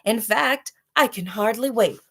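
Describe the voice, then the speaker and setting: A woman's voice: on the high side, unhurried, fairly bright tone, voice pushed up. Woman voicing a taunting, hungry storybook tiger. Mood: hungry, eager. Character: pack of hungry, menacing male tigers. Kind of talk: storybook read-aloud.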